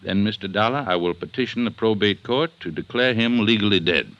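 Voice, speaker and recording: A man playing an assertive district attorney in an old-time radio detective drama, speaking with deliberate emphasis. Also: fairly low pitch, fairly bright tone, dry recording, lo-fi sound, natural voice. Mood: insistent, confident. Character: assertive Southern district attorney building a murder case. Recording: vintage radio drama recording.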